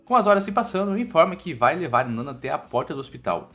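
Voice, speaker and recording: A man's voice: on the high side, very animated, quick pace, pushed and bright. A man with a Brazilian accent narrating a scripted story recap in an informal, slangy, irreverent way. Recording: music bed under the voice. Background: background music.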